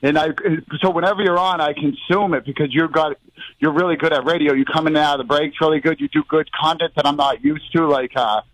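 Rambling excitable caller. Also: male voice, a little high, fast, no echo, rough recording. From Northeast US. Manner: rambling, excitable, talks in run-on bursts with many fillers. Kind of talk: rambling call-in chat.

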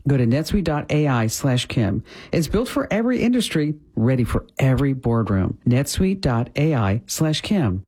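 Lively, breathy man reading an ad. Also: mid-range voice, normal speed. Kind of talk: scripted radio ad.

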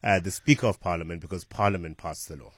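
A man hosting a talk radio call-in, his voice very low and dry from a close studio mic. He explains things steadily but hesitantly, with plenty of ums and uhs. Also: breathy edge, dry recording. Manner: measured, explanatory, hesitant with fillers. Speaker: radio talk show host. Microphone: studio microphone.

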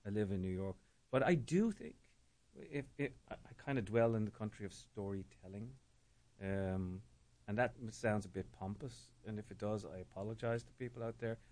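A middle-aged man talks about his writing with a lowish voice. He is reflective and searching, often stalling and repeating a word before the sentence comes. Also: normal speed, a little mellow. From Ireland. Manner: thoughtful, repeats words while searching for them. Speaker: novelist guest. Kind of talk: reflective interview talk.

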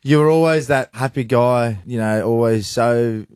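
A man's voice: medium pitch, measured pace, a little mellow, clean tone, no echo, studio quality. A man co-hosting a radio show in a casual, chatty style. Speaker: radio co-host. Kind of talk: casual chat.

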